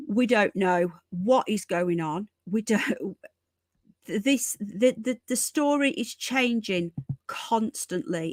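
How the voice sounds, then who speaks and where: A woman's voice: medium pitch, a bit bright, dry recording. A woman hosting a casual live news stream from home, chatting to viewers, repeating herself and calling them folks and darling. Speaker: livestream host.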